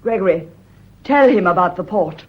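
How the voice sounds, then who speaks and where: An actress playing an indignant, anxious gentlewoman in a radio drama, terse, insistent and impatient, with a husky voice. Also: on the low side, lively intonation, moderate pace. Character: indignant, anxious young gentlewoman accusing and defending herself. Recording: muffled old radio drama recording.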